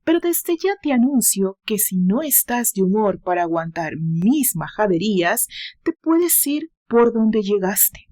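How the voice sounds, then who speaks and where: A woman's voice: medium pitch, very animated, a little slow, dark tone. Woman reading as a bitter, sarcastic young man. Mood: bitter sarcasm. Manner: sarcastic, haughty.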